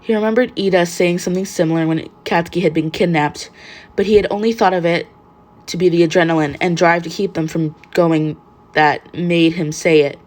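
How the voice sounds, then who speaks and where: A woman's voice: fairly low pitch, fairly even intonation, brisk, darkish tone. A woman reading fan fiction aloud as scripted narration. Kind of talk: scripted story narration with acted dialogue.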